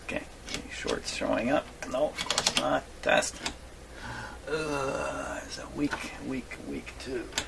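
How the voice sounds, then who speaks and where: A man giving a casual hands-on workshop demonstration, thinking aloud in a halting mutter and repeating his words. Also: mid-range voice. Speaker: hobbyist radio repairer presenting his workshop video. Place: radio repair workshop. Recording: clearly noisy workshop recording.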